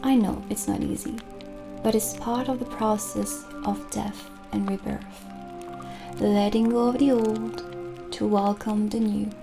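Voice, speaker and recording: A young woman playing a gentle, reassuring witch shopkeeper in a soft-spoken, soothing, unhurried ASMR roleplay. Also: medium pitch, steady tone, vocal fry. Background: soft music.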